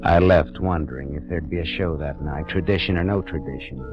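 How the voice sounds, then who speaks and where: A deep, low-pitched hard-boiled private eye, narrating dry and sardonic over old-time radio music. The broadcast sounds dull and aged, like a 1940s radio play. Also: male voice, a little fast. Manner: dry, wry, sardonic delivery. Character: hard-boiled wisecracking private detective, man. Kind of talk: 1940s radio drama, first-person narration.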